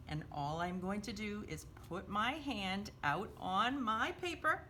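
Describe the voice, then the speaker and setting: Animated woman teaching a children's craft. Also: medium pitch, a little hiss or hum. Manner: simple, encouraging instruction, often speaking of self in third person. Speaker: children's craft storytime host. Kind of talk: step-by-step craft demonstration for young children.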